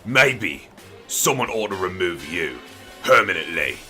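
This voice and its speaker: A man playing a surly, bitter village thug with a crude, gruff, menacing manner. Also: low-pitched, very animated, unhurried, bright, forceful tone, soft background music, exaggerated voice. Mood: menacing anger. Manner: gruff, foul-mouthed, menacing.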